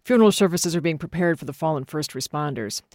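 A woman's voice: low-pitched, expressive, fast, a little mellow, dry recording. An American woman reads local headlines and weather from a script as a news presenter. The studio sound is dry, with no room around her voice.

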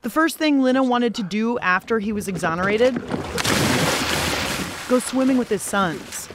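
A woman's voice: on the high side, lively intonation, normal speed, very noisy. A woman with an American accent hosting a radio show in scripted narration.

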